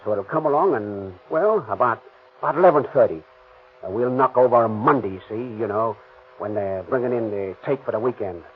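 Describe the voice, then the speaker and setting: A man's voice: medium pitch, animated, moderate pace, husky. An actor playing a ruthless, bossy car thief in a 1930s radio crime drama, with slangy tough-guy talk. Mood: menacing. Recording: tinny old radio recording, faint hiss.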